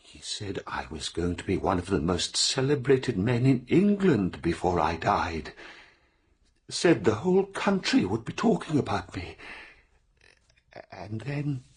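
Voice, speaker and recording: Casting: a downtrodden, troubled veteran in radio drama, very husky, halting, swooping in pitch. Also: male voice, huge pitch swings, full character voice. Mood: haunted, wistful. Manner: hesitant, halting, stammering repeats. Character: troubled, timid, downtrodden war veteran accused of murder.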